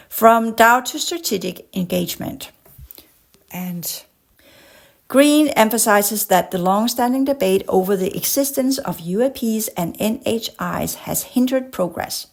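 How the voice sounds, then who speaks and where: A woman from Denmark with a Danish accent, a podcast host who reads an article aloud and breaks off into impassioned commentary, sometimes stumbling over long words. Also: mid-range voice. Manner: passionate, digresses into rants.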